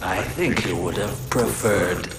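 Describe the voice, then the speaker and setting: An actor playing a cold, menacing male virus program in a video game cutscene, with a gravelly, drawn-out delivery. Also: lowish voice, loud music behind the voice. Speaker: villain. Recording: echoey, distant, loud score.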